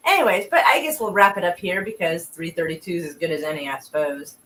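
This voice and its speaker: A woman's voice: lowish voice, normal speed, fairly bright tone, a little hiss or hum, poor audio. A woman hosting a casual livestream, laughing and chatting with guests and viewers. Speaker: stream host.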